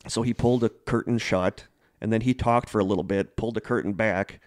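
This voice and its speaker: A man's voice: on the low side, fairly even intonation, brisk, no room sound, clean studio sound. A middle-aged man co-hosting a podcast, telling stories casually in an enthusiastic, rambling way. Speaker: podcast co-host. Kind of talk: casual conversational storytelling.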